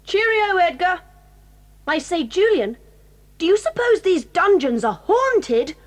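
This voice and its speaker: A woman with a British accent playing a bold, tomboyish girl who speaks briskly and cheerfully. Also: extremely high pitch, very animated, full character voice.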